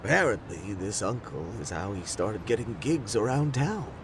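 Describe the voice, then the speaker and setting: An actor playing a friendly, easygoing neighborhood eatery worker in a video game dub, chatting freely. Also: male voice, lowish voice, lively intonation, moderate pace, background noise. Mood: helpful, chatty.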